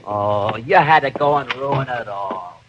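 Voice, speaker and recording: A man playing a dim-witted, grumbling police sergeant with a husky voice and blustering, slow-witted manner in an old radio drama. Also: mid-range voice, slight background noise, close to an everyday voice. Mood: officious. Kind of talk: 1940s radio comedy-drama acting. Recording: dull, dry old radio recording.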